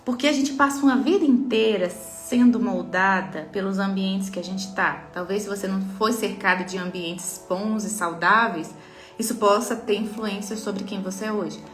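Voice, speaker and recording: A Brazilian-accented woman of middling pitch gives an earnest, emphatic faith talk as a mentor. She speaks straight to her listeners. Her voice rings in an echoey room with soft music underneath. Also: normal speed. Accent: Brazilian Portuguese.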